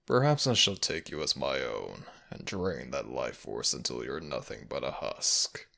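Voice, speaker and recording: Man as a very deep, sinister fox-demon villain. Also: big pitch swings, unhurried, sharp tone, full character voice. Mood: predatory, mocking. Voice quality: very deep, gravelly. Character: predatory male Nagatsune kitsune villain, hungry, mocking, sinister. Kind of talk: ASMR fantasy audio roleplay.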